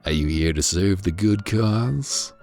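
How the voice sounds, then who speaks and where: A deep-voiced man playing a stern middle-aged preacher, slow and scornful, pitched a little higher than his usual low voice. He demands and dismisses in a storybook reading. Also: animated, a little mellow, faint music behind. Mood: suspicious.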